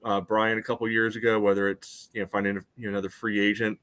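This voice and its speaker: A man with a somewhat low voice chats as a sports podcast host. He sounds conversational and measured, qualifying his points as he casually breaks things down. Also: little pitch movement, a bit bright.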